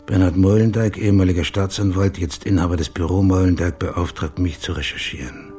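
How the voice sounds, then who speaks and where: Brooding male private eye, low husky voice, terse clipped phrasing, radio crime drama. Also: fairly even intonation, a little fast, mellow, faint music behind. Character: brooding male private detective narrating his own case. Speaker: detective. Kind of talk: radio play monologue and dialogue.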